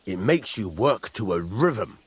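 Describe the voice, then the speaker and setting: An actor playing a gruff middle-aged village blacksmith in a video game, husky, blunt and rustic, giving plain instruction. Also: male voice, fairly low pitch, sing-song, a little slow, dry recording, exaggerated voice. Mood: patient, instructive. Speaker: master blacksmith. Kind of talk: video game character acting.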